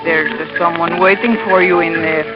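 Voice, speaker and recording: An actress plays a tender, wistful young Cuban woman in an old radio drama. She speaks measured, lilting English, muffled by the old recording, with music clearly behind her. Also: fairly low pitch, fairly even intonation, natural voice. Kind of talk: 1940s radio acting.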